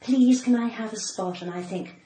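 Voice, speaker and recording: A woman's voice: a little high, moderate pace, mellow. Older posh english lady, cut-glass accent, cheeky chat, echoey room w a bit of noise. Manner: playful, cheeky, theatrical. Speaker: podcast guest, comedian and actor. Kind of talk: casual interview chat. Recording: roomy echo, noticeable background noise.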